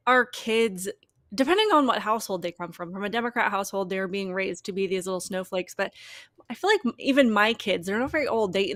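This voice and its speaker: A woman's voice: mid-range voice, fairly bright tone, no room sound, studio quality. A woman co-hosting a talk show, chatting casually with frequent laughs and a slightly whiny voice. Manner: laughs often, talks in repeated starts. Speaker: podcast co-host.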